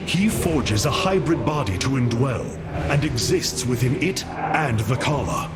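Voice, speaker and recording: A man's voice: medium pitch, lively intonation, a little slow, natural voice. A man playing a noble, resolute alien warrior leader in a video game, formal and oratorical. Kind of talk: scripted game dialogue. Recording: loud music behind.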